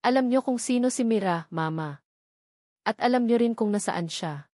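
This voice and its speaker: A woman's voice: fairly high pitch, lively intonation, normal speed, a little mellow, no room sound, clean studio sound. Woman voicing an angry, determined grown son. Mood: accusing anger. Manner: emphatic, accusing, pleading. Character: angry, determined adult son confronting his mother.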